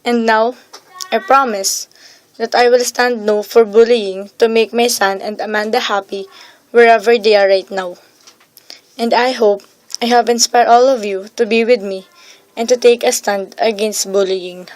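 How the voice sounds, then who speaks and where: A woman's voice: fairly high pitch, fairly even intonation, unhurried, no echo, lightly acted, poor audio. A woman playing a bereaved but resolute mother, narrating in a scripted radio drama. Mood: sorrowful, resolute. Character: bereaved mother mourning her son, resolute. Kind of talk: scripted first-person radio drama monologue.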